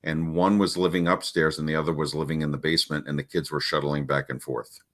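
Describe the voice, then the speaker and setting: A middle-aged man with a deep voice, a parenting coach talking casually in an interview, with many restarts and fillers. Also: level tone, fast talker, a bit bright.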